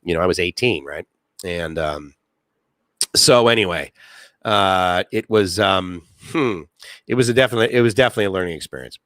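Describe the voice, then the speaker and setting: A man's voice: deep voice, a little fast, fairly bright tone, no echo, clean studio sound. A man, hosting a casual live stream, rambling with many fillers and reading chat comments aloud.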